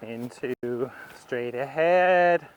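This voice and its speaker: A man giving instructional commentary as a riding instructor, thinking aloud in short, unhurried phrases as he demonstrates. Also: mid-range voice, muffled recording, slight background noise.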